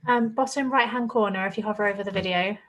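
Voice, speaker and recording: A woman's voice: medium pitch, quick pace, fairly bright tone. A woman giving casual step-by-step technical help during an online session. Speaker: technical helper.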